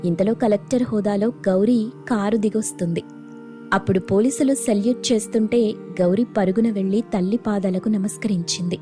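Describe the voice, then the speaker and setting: Mellow female story narrator. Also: mid-range voice, moderate pace, faint music behind.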